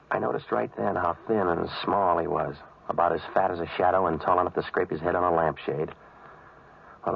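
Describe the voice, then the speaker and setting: Deep, gravelly, deadpan American private eye. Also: male voice, heavily acted. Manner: deadpan, sardonic, terse. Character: cynical, wisecracking tough male waterfront private eye. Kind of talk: 1940s hardboiled radio drama narration. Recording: dull vintage radio recording.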